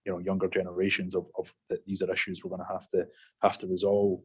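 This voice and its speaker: Deep, husky man on a muffled call. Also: completely flat delivery. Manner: measured, with frequent fillers and repeats. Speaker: panel guest, industry consultant. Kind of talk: casual panel talk.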